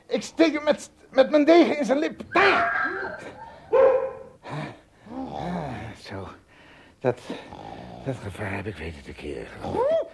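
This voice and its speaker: Excitable, gravelly little adventurer Gulliver. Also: male voice, high-pitched, takes it slowly, long pauses, background noise, heavily acted. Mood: shaken, relieved. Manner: theatrical, stammers and repeats words when frightened. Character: Gulliver, tiny adventurous Englishman, excitable yet polite. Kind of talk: radio play acting.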